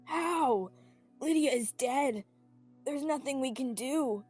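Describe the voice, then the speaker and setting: A woman's voice: sits high, darkish tone, slightly rough, exaggerated voice. A young woman playing a mournful, self-blaming small-town sheriff in a student radio play. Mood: despairing. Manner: mournful, slow, sighing. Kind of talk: student radio-theatre acting. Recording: dry, music underneath.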